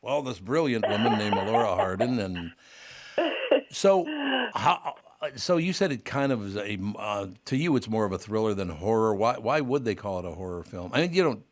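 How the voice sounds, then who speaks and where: A man's voice: on the low side, expressive, moderate pace. A man hosting a radio talk show, chatting jokily and effusively with a guest, often rambling. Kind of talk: casual radio interview.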